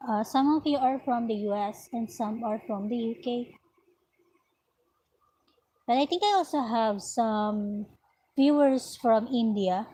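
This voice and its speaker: A woman vlogging about her crochet work in an unhurried, chatty way, her voice slightly raspy. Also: a little high, warm tone, a little hiss or hum, low-quality recording. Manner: unhurried, chatty, talks while working. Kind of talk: slow casual vlog narration while crocheting. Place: at home, indoors.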